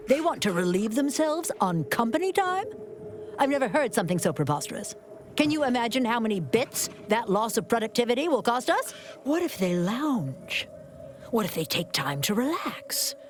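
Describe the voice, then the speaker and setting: A woman's voice: a little high, sing-song, normal speed, a little hiss or hum. A woman voicing a greedy, penny-pinching corporate boss in a video game, pompous, theatrical and articulate. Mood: appalled.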